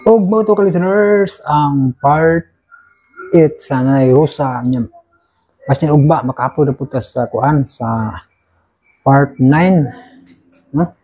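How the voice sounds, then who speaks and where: Rambling Filipino man, slightly husky. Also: a little high, slow, pauses often, warm tone, faint music behind, low-quality recording. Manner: rambling, repeatedly adding tag questions. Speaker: uploader and host of drama channel. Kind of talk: casual unscripted chat to listeners.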